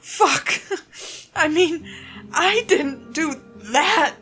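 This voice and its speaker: A woman's voice: very high voice, extremely slow, bright, forceful tone, soft background music, voice pushed up, full character voice. A woman voicing a shaken, panicked suspect in a horror story, stammering and tremulous as they plead with police. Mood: panicked, distraught. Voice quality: tremulous, breathy gasps. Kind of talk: dramatic horror story reading.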